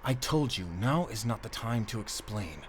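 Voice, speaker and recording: An actor playing a stern, secretive werewolf hunter with a German accent, giving terse, grave orders in a cinematic audio drama. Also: male voice, medium pitch, expressive, moderate pace, a little hiss or hum, close to an everyday voice. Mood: tense, angry.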